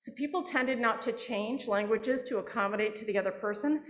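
A woman's voice: a little high, fairly quick. Woman lecturing in an echoey hall. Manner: explanatory, with fillers and restarts. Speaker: anthropology professor giving a public lecture. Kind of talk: academic presentation.

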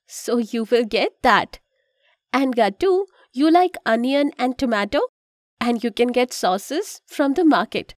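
A woman's voice: fairly high pitch, dry recording, clean studio sound. A woman voicing a kind, instructive mother in a children's cartoon, with an Indian accent and a patient, explanatory manner. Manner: patient, explanatory, gently cautioning.

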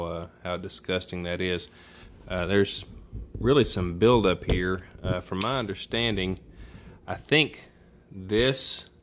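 Low-voiced man, casual and folksy. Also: fairly bright tone. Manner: informal, folksy, thinking aloud. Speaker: DIY demonstrator. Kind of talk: casual how-to narration. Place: indoor room at home.

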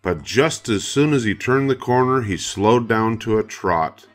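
A man's voice: lowish voice, animated, unhurried, faint music behind. An older man narrating a children's story from a script. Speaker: storybook reader.

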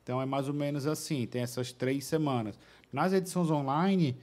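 A man's voice: medium pitch, moderate pace, a little mellow. Young man answering in a podcast studio. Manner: hesitant, many fillers and restarts. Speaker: podcast guest. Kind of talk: casual interview answers. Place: small podcast studio with curtain backdrop. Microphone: studio mic on boom arm.

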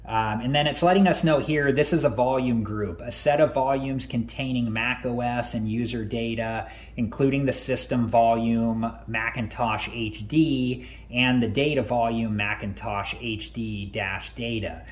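A man hosting a tech tutorial, calmly talking through each step on screen with frequent ums and uhs. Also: medium pitch, unhurried, few pauses. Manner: relaxed, many ums and uhs.

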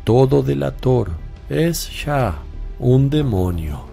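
Guy playing a solemn holy teacher in a radio play, firm but caring, soft mellow voice, really expressive pitch. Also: gravelly, faint music behind, full character voice. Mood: grave reproach. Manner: solemn, deliberate, preaching. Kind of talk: Spanish radio drama acting.

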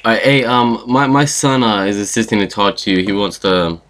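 A man chatting casually as a prank caller and host, in a hesitant, halting way. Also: on the low side, steady tone, fairly bright tone, a little hiss or hum. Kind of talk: casual chat.

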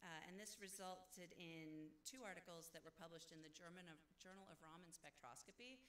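A woman's voice: fairly low pitch, a little fast, fairly bright tone. A woman scientist giving a conference talk with slides, conversational, with many "uh" fillers and self-corrections. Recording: echoey room, faint background noise.

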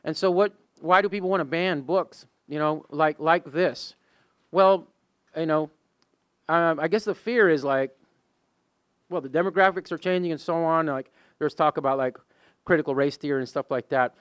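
Texan man, smooth clear panel voice. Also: fairly high pitch, normal speed, fairly bright tone, no room sound, studio quality. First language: Spanish. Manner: rambling, many fillers and restarts. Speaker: poet and high school English teacher on a panel. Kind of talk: casual panel talk. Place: museum meeting room with a small audience. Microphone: handheld mic.

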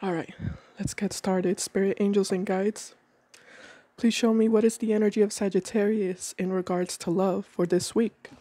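A woman with a cold giving a calm tarot reading at a table indoors, slowing down as she names each card. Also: mid-range voice, no room sound. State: has a cold. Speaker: tarot reader.

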